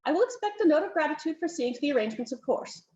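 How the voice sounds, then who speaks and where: A woman's voice: expressive, darkish tone. A woman doing a bossy, imperious countess. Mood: bossy, fussing. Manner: brisk, insistent, high-pitched. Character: bossy aristocratic middle-aged countess, imperious. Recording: roomy, slightly muffled home recording.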